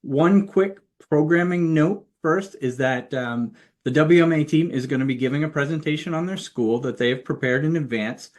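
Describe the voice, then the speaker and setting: A man hosting an online presentation, introducing the guest team in a friendly, relaxed way. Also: on the high side, normal speed. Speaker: host. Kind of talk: webinar introduction.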